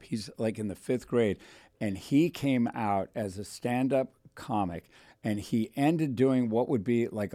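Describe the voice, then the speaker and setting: A man in his forties hosting a radio talk show, telling stories in an animated way and putting on voices when he quotes people. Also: on the low side, no echo, clean studio sound. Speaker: radio host. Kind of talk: casual radio show chat. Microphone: studio microphone.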